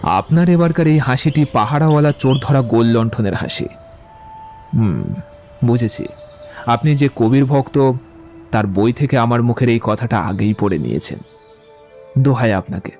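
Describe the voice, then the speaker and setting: Witty, eloquent young romantic man for audio drama, male actor, theatrical, lively swings in pitch, soft music behind. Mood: witty, expansive. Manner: eloquent, playful, declamatory. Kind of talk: dramatised audiobook dialogue acting.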